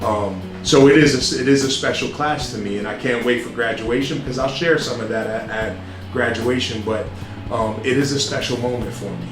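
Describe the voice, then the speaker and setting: Loud, earnest middle-aged man, roomy sound. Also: medium pitch, normal speed. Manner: loud, earnest, restarts with many ums. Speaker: high school principal, interview guest. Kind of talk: casual interview answers. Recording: roomy sound with music behind.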